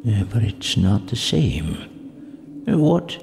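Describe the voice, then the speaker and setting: An actor playing an elderly miser, shaken and pleading with a spirit in an audio drama. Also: male voice, lowish voice, big pitch swings, slow, vocal fry, background noise, heavily acted. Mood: anxious, bewildered. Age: older. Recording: reverberant hall, music underneath. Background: music.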